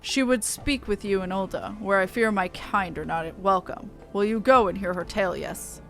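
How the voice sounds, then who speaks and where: A woman plays a stern, honor-bound beastman elder of a fantasy game. The speech is formal, archaic and measured, weighed with solemn duty. Music plays behind the voice. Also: medium pitch, creaky, a bit bright, pitched below the performer's own voice. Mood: grave. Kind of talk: reading game dialogue aloud.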